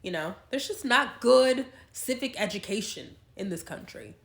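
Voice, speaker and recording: Woman vlogger, congested from a cold, bright-toned, chatty and candid, recorded in a reverberant room. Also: a little high, animated, normal speed. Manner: chatty, tangent-prone, frank. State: stuffed up from a cold. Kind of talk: casual talk to camera. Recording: echoey room.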